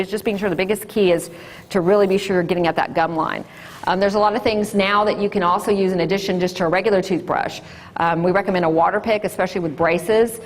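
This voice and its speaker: A woman, an orthodontist giving a loud, lively classroom talk to children. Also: mid-range voice. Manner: loud and lively, sing-song call-and-response.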